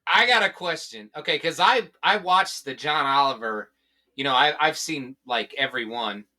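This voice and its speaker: A middle-aged man in casual podcast conversation with friends, explaining things in a halting, repetitive way. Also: mid-range voice, sharp tone. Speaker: podcast co-host.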